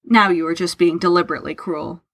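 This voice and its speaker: A woman's voice: on the low side, fairly even intonation, quick pace, gravelly. A woman voicing a guarded, bitter man who speaks in a curt, matter-of-fact, formal and sardonic way, as in an audio story. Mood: bitter sarcasm. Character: Tharke, guarded, bitter, self-deprecating man hiding love.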